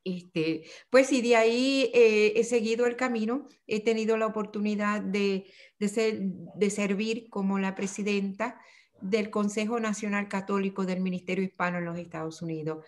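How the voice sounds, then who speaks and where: An older woman with a Puerto Rican Spanish accent talks about her life in a remote interview. Her delivery is reflective and unhurried, and she often restarts her sentences. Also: medium pitch, steady tone. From Puerto Rico.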